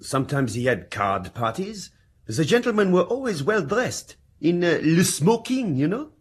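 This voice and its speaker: An actor playing an effusive, mournful French concierge in a British radio drama, with a husky voice and French accent. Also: male voice, fairly high pitch, sing-song, moderate pace. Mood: polite, admiring. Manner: effusive, lamenting, slipping into French.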